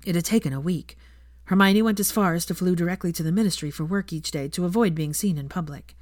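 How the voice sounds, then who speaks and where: A woman reading scripted fiction as an audiobook narrator, in a measured, expressive way. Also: fairly low pitch, dry recording, clean studio sound.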